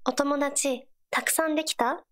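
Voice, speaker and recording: Game companion, teenage girl: high voice, earnest, gentle, supportive, short encouraging lines. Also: steady tone, a little slow. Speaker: game character voice actor. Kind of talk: scripted game character voice lines.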